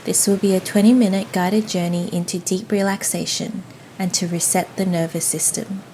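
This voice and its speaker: A woman leading a guided yoga nidra meditation in a soothing, gentle, unhurried manner. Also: mid-range voice. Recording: faint steady ocean noise behind. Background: ocean waves ambience.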